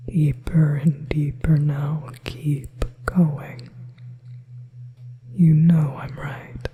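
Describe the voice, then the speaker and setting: Slow whispering possessive demon woman, acted by a woman. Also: low voice. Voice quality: breathy whisper. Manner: slow, hushed, soothing, coercive coaxing. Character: possessive yandere female demon, coaxing and manipulative. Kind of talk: whispered hypnosis ASMR roleplay.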